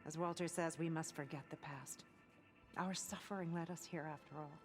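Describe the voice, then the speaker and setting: A low-pitched female convert from a video game, acted by a woman. She is devout and earnest, with a reverent, wistful way of talking that hesitates at times. Faint music plays underneath. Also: fairly even intonation, moderate pace, lightly acted. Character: earnest, devout woman cult convert, former marine, wavering. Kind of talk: video game cutscene acting.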